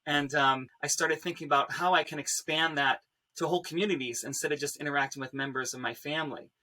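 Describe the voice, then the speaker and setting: A man speaking as a panelist, explaining his work and background in a measured, personal way. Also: on the high side, steady tone, pushed and bright, poor audio. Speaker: panelist, composer and pianist.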